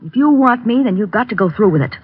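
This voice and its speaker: A woman's voice: on the high side, lively intonation, fast talker, breathy edge. A woman playing a scheming, impatient secretary and mistress, hard and coaxing, in an old-time radio drama. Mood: impatient, demanding. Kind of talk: 1940s radio drama acting. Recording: dull old radio recording.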